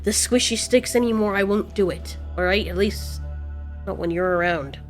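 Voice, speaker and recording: A woman's voice: fairly high pitch, moderate pace, fairly bright tone, soft background music, close to an everyday voice. Woman playing a big, defensive male beast. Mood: apologetic, tender. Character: huge male predator beast, defensive but devoted mate.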